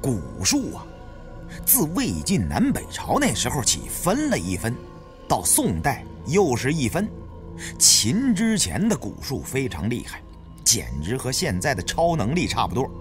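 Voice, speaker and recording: Bookish male adviser, put on by a narrator, long-winded, pedantic, very animated pitch, audiobook storytelling. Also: measured pace, over music. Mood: explanatory. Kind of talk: audiobook character acting.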